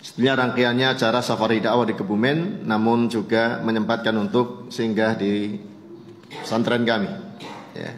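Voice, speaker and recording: A man's voice: on the low side, steady tone, moderate pace, a little hiss or hum. A man with an Indonesian accent giving an Islamic lecture in a conversational, explanatory way, often punctuating his points with short tag words. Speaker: Islamic preacher. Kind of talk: religious lecture, telling an anecdote with lessons. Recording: echoey hall with long reverb.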